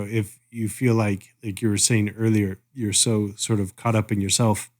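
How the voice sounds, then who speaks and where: A man with a fairly low voice chats as a podcast co-host. He muses aloud, halting and picking his way through each thought. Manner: musing, halting, thinking aloud. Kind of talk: casual review chat.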